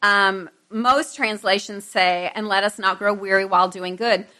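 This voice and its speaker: A woman's voice: medium pitch, fairly even intonation, normal speed, pushed and bright. A middle-aged woman giving an informal Bible lesson to a group, conversational, with self-deprecating asides to her listeners.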